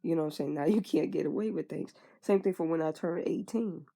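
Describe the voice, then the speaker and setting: Gravelly, husky young woman venting. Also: deep voice, a little fast, dark tone. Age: 20s. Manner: frank and conversational, swears casually, trails off with fillers. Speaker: vlogger. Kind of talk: candid personal venting monologue.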